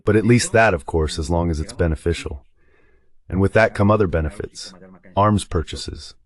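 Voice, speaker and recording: A man's voice: deep voice, normal speed, no room sound. A man speaking as a guest analyst, in a translated voice-over of a live discussion on world affairs.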